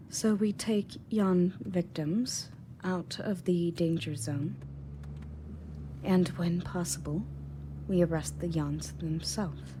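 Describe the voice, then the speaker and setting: Low-voiced, unhurried female field agent played by a woman. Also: mellow. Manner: conversational, unhurried, matter-of-fact. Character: confident, matter-of-fact female field agent rescuing victims. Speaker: audio roleplay performer. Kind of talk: scripted audio roleplay, in-character monologue to listener. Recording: clearly audible background noise and ambience.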